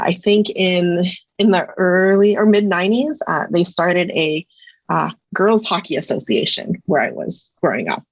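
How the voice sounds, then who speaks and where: A woman's voice: fairly low pitch, dry recording. A woman in her thirties from Canada, a psychology researcher talking through a casual interview, thoughtful and hesitant with many fillers. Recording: dull lo-fi sound, dry.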